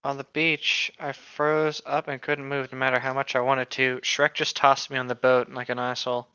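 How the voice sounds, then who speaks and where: Guy reading fanfic out loud, forceful clear voice, joking asides, dry slightly muffled audio. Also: medium pitch, flat delivery, moderate pace, no room sound. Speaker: fan fiction podcast reader. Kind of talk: casual comic read-aloud and banter.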